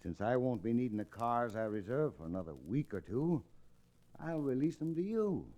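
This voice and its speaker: An actor playing a sly, scheming, smooth-talking cattle baron villain in an old-time radio Western. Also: male voice, medium pitch, big pitch swings, moderate pace, mellow, lo-fi sound, close to an everyday voice. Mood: falsely polite. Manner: scheming, falsely reasonable, gloating.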